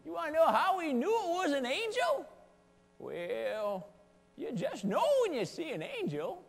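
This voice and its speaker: A man playing a folksy, excitable old shepherd who scolds and chuckles in rambling asides during a comic stage monologue. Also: extremely high pitch, measured pace, gravelly, heavily acted. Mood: rambling storytelling. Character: folksy old shepherd, sassy, excitable, talking to his sheep. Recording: long echoing hall reverb.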